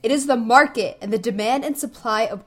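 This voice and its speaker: An actress playing a level-headed young adult daughter who calmly reasons and lectures her father in an audio play. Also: a little high, drawn-out words, heavily acted. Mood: reasoning.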